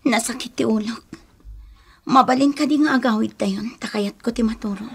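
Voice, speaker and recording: A woman's voice: fairly high pitch, normal speed, vocal fry, breathy edge. A woman playing a discontented, stubborn young wife and mother in a Filipino radio drama, plaintive and melodramatic, with an Ilocano accent. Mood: weary, sullen.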